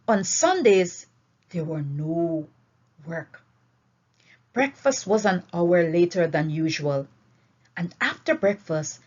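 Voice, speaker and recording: A woman reading a novel aloud as an expressive storyteller, with deliberate, emphatic phrasing, on a dull, lo-fi recording. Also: lowish voice. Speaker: audiobook reader.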